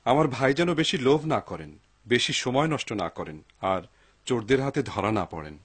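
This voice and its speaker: Guy as a mild pious family man in a cartoon, husky, mid pitch, lively intonation. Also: fairly quick. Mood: worried. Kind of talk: cartoon dub acting.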